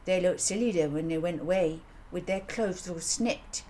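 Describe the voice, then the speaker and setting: A woman's voice: lowish voice, moderate pace, mellow, close to an everyday voice. A woman voicing a small, chatty boy in a storybook with simple, matter-of-fact childish telling. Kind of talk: children's audiobook character voice. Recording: audible background noise.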